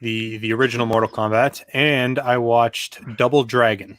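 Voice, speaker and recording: A man from Canada co-hosting a casual live stream, relaxed and joking, often laughing. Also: mid-range voice, normal speed, no echo. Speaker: co-host. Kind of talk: casual livestream chat.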